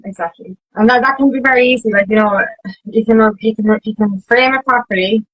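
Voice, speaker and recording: A woman's voice: fairly high pitch, fairly quick, no echo, low-quality recording. A woman chatting casually as a participant in a discussion, agreeing and speaking bluntly. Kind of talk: casual chat.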